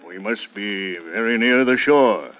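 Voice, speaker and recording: A man's voice: fairly low pitch, measured pace, lightly acted. A male actor plays a sober ship's officer, tense and concerned at sea. The delivery is vintage 1930s radio drama. The sound is dull and aged, with faint music underneath.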